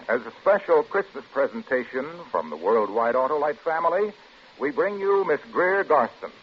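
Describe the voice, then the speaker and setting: A man announcing formally in 1950s radio style, polished, measured and dramatic. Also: on the high side, a little hiss or hum. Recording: dull old radio broadcast recording. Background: loud music.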